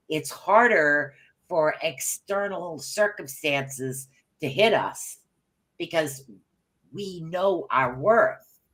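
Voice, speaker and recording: A woman co-hosting a podcast, talking in a low, slow voice with a bright, forceful edge. She reads her notes in a halting, chatty way and sometimes loses her thread. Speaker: podcast co-host. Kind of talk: casual podcast chat and reading notes aloud.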